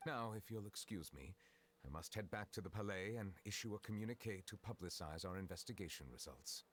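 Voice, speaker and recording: An actor playing a formal, composed male dignitary in a video game, speaking in a measured, reserved and courteous way. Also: low-pitched, fairly bright tone. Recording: music behind the voice.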